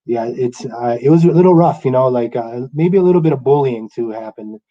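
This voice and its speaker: A man from Guatemala whose first language is Spanish, hosting a casual interview with a relaxed, stammering delivery. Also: medium pitch, brisk, muffled recording. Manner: relaxed, hesitant with stammered restarts. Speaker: radio host.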